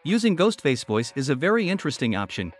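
Man, slightly high voice, animated delivery, scripted tutorial narration, music behind. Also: fast talker. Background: background music.

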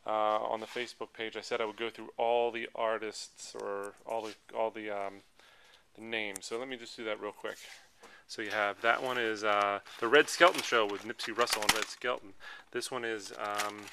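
Low-voiced American man, casual auction walk-through. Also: fairly even intonation, moderate pace, pushed and bright. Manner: rambling item-by-item listing while walking. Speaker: auction house presenter. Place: large indoor auction hall full of tables. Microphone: handheld camera, held by speaker behind it. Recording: handheld camera audio with clear background noise.

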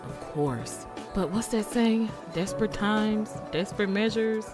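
A woman acting a steady, practical friend turned thief in an audio drama. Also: mid-range voice, a little slow, music in the background, natural voice. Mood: caring, resigned.

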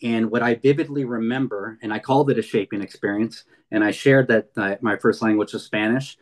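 A man's voice: lowish voice, fairly even intonation, brisk. A man in his fifties from California's San Fernando Valley, giving a heartfelt webinar talk over a video call with many fillers and restarts. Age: 50s. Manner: earnest, frequent fillers and restarts. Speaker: guest speaker. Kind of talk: webinar presentation.

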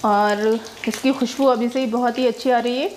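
A woman's voice: on the high side, steady tone, moderate pace, a little mellow, a little hiss or hum, rough recording. A woman narrating her own cooking video step by step, chatty and homely, explaining each step as she cooks in her home kitchen. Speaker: cooking vlogger.

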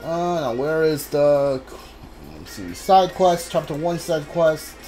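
A gamer talks through a live playthrough in a casual, unhurried voice of medium pitch. The delivery is halting, with thoughts spoken aloud and muttered asides, while game music plays behind. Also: mellow. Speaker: video game player and commentator. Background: video game music.

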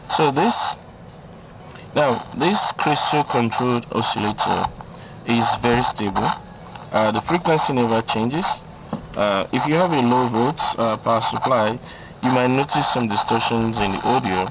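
A man's voice: mid-range voice, background noise. A man hosting a hands-on tutorial, explaining in an instructional way and often repeating and rephrasing his steps. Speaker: electronics tutorial host.

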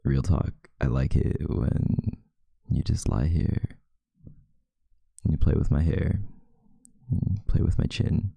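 A young man doing an intimate ASMR boyfriend roleplay as a sleepy, affectionate, teasing partner in bed, murmuring softly and drowsily close to the microphone. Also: very deep voice, expressive, slow, long pauses, dry recording. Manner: soft, drowsy murmuring, partly breathy and whispered. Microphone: close microphone, dry sound.

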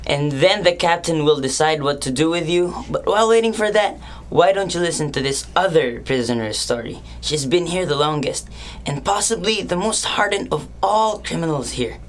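A woman's voice: sits low, animated, moderate pace. A woman playing a scornful, jeering woman in a prison, mocking and theatrical, in an amateur radio drama.

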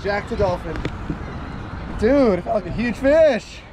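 A man fishing as a guest on a boat, chatting casually and getting animated and hyped up, recorded outdoors on an action camera. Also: high-pitched, a little slow, a crowd in the background. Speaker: guest angler. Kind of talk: casual banter while fishing. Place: open boat on the bay outdoors. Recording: loud wind and water noise. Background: loud boat and water noise.

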